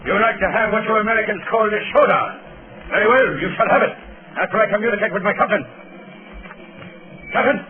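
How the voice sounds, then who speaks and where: An actor playing a ruthless gang boss, commanding and menacing, in 1930s radio style. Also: male voice, high voice, fast talker, long pauses, over music, lightly acted. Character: ruthless foreign villain, angry gang boss barking orders. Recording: noisy, tinny 1930s radio recording.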